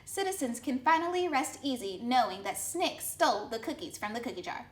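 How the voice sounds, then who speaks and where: A woman's voice: high-pitched, big pitch swings, brisk. A woman playing a fairy tale news anchor, reading a scripted bulletin in a formal way. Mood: newsy. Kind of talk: mock TV newscast, scripted. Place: indoor studio set. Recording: echoey room.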